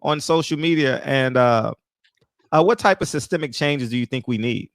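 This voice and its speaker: Guy hosting a livestream interview, super dry close sound, kinda halting. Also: medium pitch, no room sound. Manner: friendly, halting questions, short affirmations. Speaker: interviewer, host.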